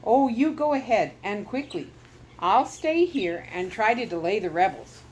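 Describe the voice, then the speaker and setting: A man's voice: sits high, animated, measured pace, slight background noise, poor audio. A woman doing a worried missionary man. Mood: urgent. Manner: urgent, warning. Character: anxious island missionary man.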